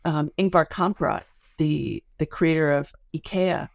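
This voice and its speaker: A woman's voice: low voice, a little slow, gravelly, no room sound, studio quality. A middle-aged woman speaking as an academic guest in a podcast interview, explaining things articulately through stories and analogies.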